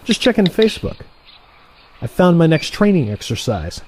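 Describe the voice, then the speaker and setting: A man playing an excitable, cocky younger assassin in an audio play, talking in an animated, wheedling, sarcastic way. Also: on the high side, moderate pace, noisy background. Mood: needling, eager. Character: excitable, cocky younger assassin, male trainee turned rival.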